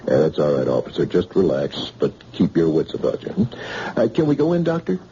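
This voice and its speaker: A very deep, dark-voiced police sergeant who coaxes a witness along. His pitch swings widely as he talks, slow and hesitant. The sound is a dull old radio broadcast with faint hiss. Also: wildly swooping pitch, full character voice. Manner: slow, coaxing, hesitant with fillers. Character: gruff police sergeant, patient and firm. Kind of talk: 1940s radio acting.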